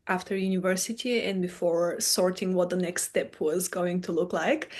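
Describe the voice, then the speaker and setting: A woman in her thirties from Bulgaria, a podcast guest telling her story in a relaxed, soft-spoken way that fades quietly at the ends of phrases. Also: mid-range voice. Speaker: podcast guest, meditation teacher. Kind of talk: casual conversation, storytelling.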